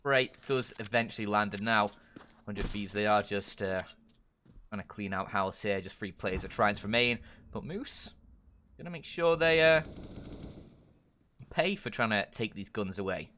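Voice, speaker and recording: Excitable male esports play-by-play caster. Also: lowish voice, moderate pace, pauses often, slight background noise. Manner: excitable, builds to shouting at big plays. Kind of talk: live esports commentary.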